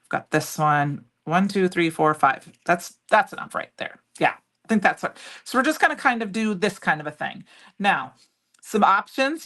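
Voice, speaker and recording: A middle-aged woman with a loud voice, chatting and rambling as she demonstrates a craft project live and answers viewers by name. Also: medium pitch. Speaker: crafting livestream host. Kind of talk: casual chat while demonstrating crafts.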